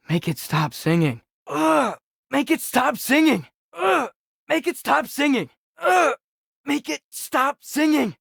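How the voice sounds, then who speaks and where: A man's voice: extremely high pitch, vocal fry, a bit bright, husky, no echo, clean studio sound, full character voice. A young male actor playing an alert teenage boy warrior in a video game, giving short, urgent combat callouts. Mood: weary, annoyed.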